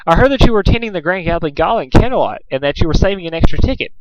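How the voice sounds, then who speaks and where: A man's voice: high voice, expressive, racing, slight background noise, pitched above the performer's own voice. A man voicing a vain, ladylike female cartoon unicorn with gushing, theatrical refinement. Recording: dull lo-fi home recording.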